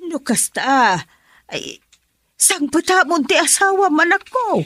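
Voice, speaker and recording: A woman's voice: extremely high pitch, sing-song, slow, a bit bright, no room sound, full character voice. A woman with an Ilocano accent playing a worried middle-aged mother in a radio drama, pleading and anxious. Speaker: mother character.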